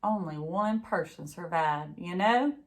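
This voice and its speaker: A woman's voice: lowish voice, unhurried, close to an everyday voice. A folksy, talkative local driver from a storybook, read by a woman. He tells things in a plain, grim, matter-of-fact way.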